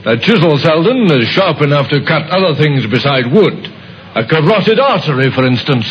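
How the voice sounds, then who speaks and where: An actor playing a shrewd, stern police inspector in an old-time radio mystery, with a dry, measured, insinuating manner. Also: male voice, fairly high pitch, sing-song. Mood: dry, suspicious. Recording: muffled, noisy vintage radio recording.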